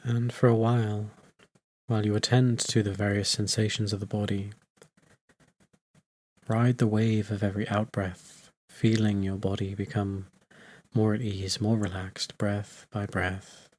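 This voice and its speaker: Male meditation teacher, lowish voice, soothing delivery, short phrases spaced by generous silences. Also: little pitch movement, a little mellow. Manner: soothing, unhurried phrases with long pauses. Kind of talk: guided meditation.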